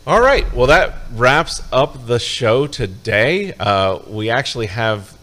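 A man's voice: mid-range voice, big pitch swings, slow, a bit bright. A man from upstate New York hosting a live show, chatting casually with a guest and wrapping up with energy. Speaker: show host.

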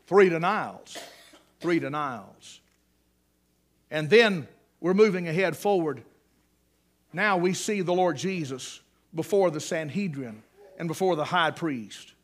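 Folksy high-voiced preacher man. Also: expressive, takes it slowly, long pauses. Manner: folksy storytelling with joking asides, rising to loud emphatic peaks. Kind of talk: sermon.

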